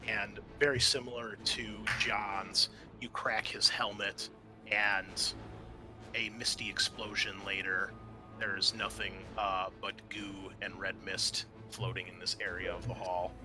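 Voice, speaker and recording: A man's voice: lowish voice, level tone, unhurried. A man improvising narration as game master in a tabletop roleplaying game, talking casually and addressing his players directly. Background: background music.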